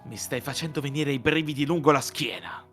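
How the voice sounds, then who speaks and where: A man's voice: mid-range voice, brisk, fairly bright tone, soft background music, natural voice. A man voicing a curt, imperious male customer in a visual novel, cool and commanding.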